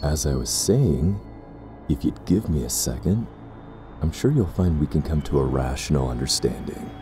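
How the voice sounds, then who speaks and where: A man playing a sinister, condescending zealot in an audio roleplay drama, speaking in a formal, measured way. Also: rumbling low, expressive, mellow, faint music behind. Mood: cold, composed. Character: sinister cursed brother, pompous, coldly condescending zealot.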